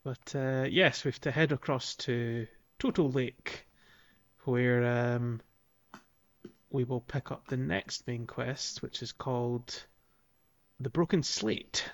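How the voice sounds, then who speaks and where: A man's voice: mid-range voice, slow pace. A man co-hosting a gaming podcast, chatting casually and explaining things in an informal, hesitant way. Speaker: podcast co-host.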